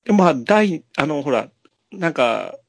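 A man's voice: fairly high pitch, sing-song, measured pace, vocal fry, no echo, clean studio sound. A man co-hosting a podcast in casual film chat, agreeable and conversational, often prompting his partner. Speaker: podcast co-host.

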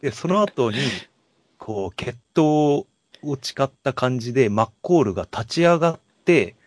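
Low-pitched man chatting about films. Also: animated, normal speed, dry recording. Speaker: podcast co-host. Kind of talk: casual film discussion.

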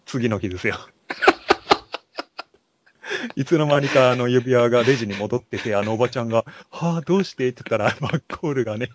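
A man's voice: mid-range voice, brisk, pauses often. A man co-hosting a podcast, chatting casually about films in polite but informal speech and feeling his way through stories. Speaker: podcast co-host. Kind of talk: casual film chat.

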